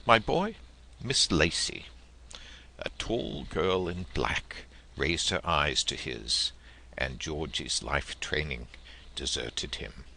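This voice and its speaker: A man reading literary prose and verse aloud as an audiobook narrator, with theatrical, deliberate phrasing. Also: rumbling low, gravelly, sharp tone, breathy edge.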